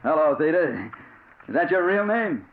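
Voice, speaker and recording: Hard-boiled 1940s radio reporter, expressive swings in pitch, wry, thin noisy old recording. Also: male voice, phone-quality sound. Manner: hard-boiled, dramatic pauses. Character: wry, uneasy male newspaper reporter telling his story. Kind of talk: 1940s radio drama acting.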